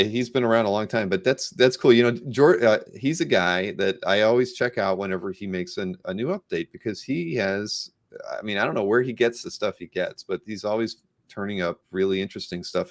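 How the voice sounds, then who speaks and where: A man with a fairly deep voice hosts a casual interview, recorded dry with no room sound. He is easygoing and agreeable, speaking in a loose conversational way. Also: fairly quick, no echo. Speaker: interview host.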